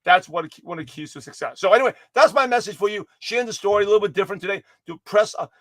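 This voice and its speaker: High-voiced, quick, hoarse man. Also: dry recording, studio quality. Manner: energetic, rambling, with many restarts and self-corrections. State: hoarse, slightly raspy voice. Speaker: motivational speaker giving a daily pep talk. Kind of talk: livestream pep talk to viewers.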